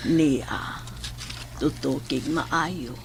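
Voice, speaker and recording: An actress playing a sinister older cult matriarch in a horror audio drama, speaking in a hushed, deliberate way that slips into whispers. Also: female voice, very deep voice, creaky, background noise, heavily acted. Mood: hushed, mysterious. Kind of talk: horror radio drama acting.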